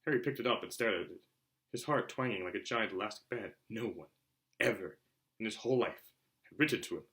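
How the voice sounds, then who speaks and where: A man narrating a children's novel aloud in an expressive storytelling style. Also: fairly low pitch, a little fast. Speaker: audiobook reader.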